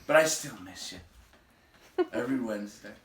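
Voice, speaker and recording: A man's voice: on the low side, lively intonation, normal speed, a bit bright. A man reading a storybook aloud to listeners, moving between narration and casual remarks. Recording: roomy echo, distant from the mic.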